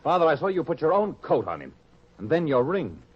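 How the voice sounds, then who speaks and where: An actor playing a resentful, dutiful grown son in an old-time radio drama, indignant and accusing. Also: male voice, a little high, sing-song, fairly quick, dull audio, over a noisy crowd. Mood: indignant, hurt.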